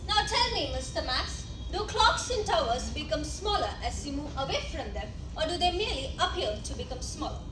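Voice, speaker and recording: A bombastic, scheming teacher acted by a woman with an Indian English accent declaims in a comic stage play. The delivery is theatrical and mock-solemn, swinging widely in pitch. It is picked up from far off in an echoey, noisy space. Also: lively intonation, fairly quick, few pauses. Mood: pompous reasoning. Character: bombastic, scheming physics teacher running a sham oral exam. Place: college event stage, distant microphone.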